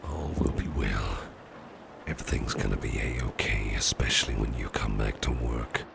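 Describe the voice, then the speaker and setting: A man playing a doting, reassuring businessman boss in an ASMR roleplay, speaking in a breathy, half-whispered murmur with soothing, genteel phrasing. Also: rumbling low, normal speed, background noise, exaggerated voice. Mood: soothing, protective. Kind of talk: ASMR personal-attention roleplay.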